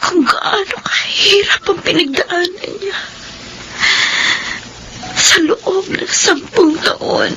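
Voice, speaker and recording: A woman acting a tender, sorrowful younger sister in a Filipino radio drama, tearful and halting, with a Filipino accent. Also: very high-pitched, noisy background.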